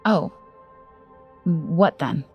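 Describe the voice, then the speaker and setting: Actress as a curious, low-voiced young woman. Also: expressive, extremely slow, breathy edge, muffled recording, soft background music, heavily acted. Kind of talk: audio fiction drama acting.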